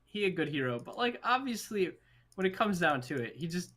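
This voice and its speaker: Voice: medium pitch, big pitch swings, a little fast, fairly bright tone. A podcast co-host in a casual movie chat, speaking enthusiastically with lots of slang.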